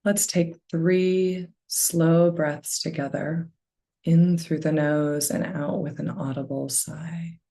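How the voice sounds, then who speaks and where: A woman guides a meditation in a low, soft voice. She speaks very slowly, leaving space between phrases. Her gentle delivery settles into a noticeable creak. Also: fairly even intonation. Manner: gentle, unhurried phrasing with long pauses. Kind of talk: guided meditation, slow soothing narration.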